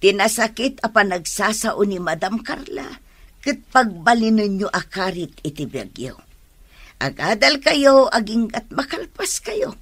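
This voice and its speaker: Radio drama mother, middle-aged, Ilocano accent, husky and animated, music beneath. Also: medium pitch, a little slow, no room sound. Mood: earnest, encouraging. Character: supportive mother encouraging young women to study. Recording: music under the voice.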